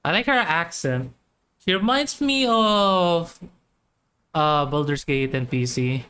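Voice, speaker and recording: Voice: medium pitch, animated, takes it slowly, pushed and bright, clean tone. A gamer giving casual, offhand gameplay commentary in short reactions. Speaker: gaming video creator.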